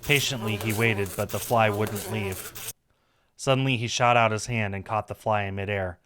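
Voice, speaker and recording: A man's voice: lowish voice, fairly even intonation, normal speed, a crowd in the background. A man reading a visual novel aloud on a stream, narrating the story with casual asides.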